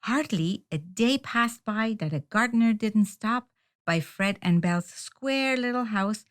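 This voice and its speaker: A woman reads a children's story aloud at a slow, deliberate pace. Her telling is expressive, and her voice sits at a middle pitch. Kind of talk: children's picture book read-aloud.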